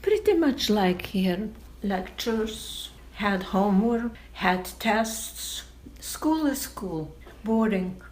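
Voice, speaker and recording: An older woman from Lithuania, a native Lithuanian speaker, explaining patiently in a slow, deliberate way during an oral history interview. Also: mid-range voice, animated, a little mellow, poor audio.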